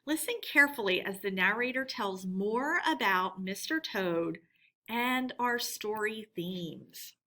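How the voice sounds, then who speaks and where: A woman's voice: fairly high pitch, sharp tone. A woman teacher reading a children's story aloud expressively and putting questions to her pupils.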